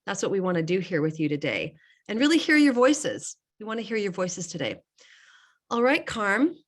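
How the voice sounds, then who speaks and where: A woman's voice: mid-range voice, big pitch swings, normal speed. A middle-aged woman getting over a slight cold, hosting a casual online group call in an emphatic, urging way, repeating key phrases for weight. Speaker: host and network marketing business coach.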